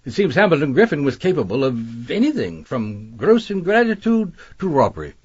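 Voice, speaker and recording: An actor playing a smug, evasive gentleman suspect in a radio mystery, pompous and hesitant as he comes under pressure. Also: fairly high pitch, big pitch swings, moderate pace, breathy edge, dull audio. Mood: smug, defensive. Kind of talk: radio mystery drama acting.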